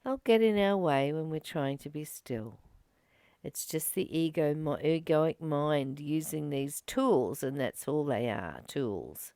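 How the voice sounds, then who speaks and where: A woman reading a spiritual text aloud and reflecting on it in a slow, gentle, contemplative way. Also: low-pitched, expressive, no room sound, clean studio sound. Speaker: spiritual study reader and teacher.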